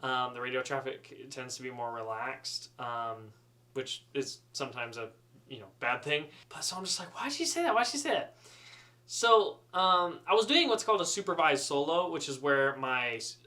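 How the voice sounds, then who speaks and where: Bright-voiced man telling a funny story. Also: medium pitch, moderate pace. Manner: animated, self-deprecating, chatty. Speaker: student pilot storyteller. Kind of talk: casual humorous storytelling.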